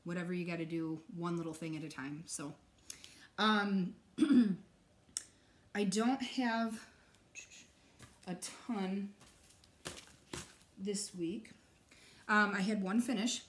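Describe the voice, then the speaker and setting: A woman's voice: medium pitch, takes it slowly, long pauses, a little hiss or hum. A young adult woman vlogging from her couch, chatting casually with many ums, her voice still recovering from a cough. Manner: chatty, many ums, soft nervous laughs. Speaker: stitching vlogger. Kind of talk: casual chat to camera. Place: living room couch.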